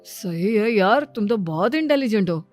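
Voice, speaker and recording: A woman's voice: medium pitch, expressive, normal speed, darkish tone, soft background music, voice pushed down, close to an everyday voice. A woman voicing a puzzled, admiring young husband in a story, casual and full of questions. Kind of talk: acted moral-story dialogue.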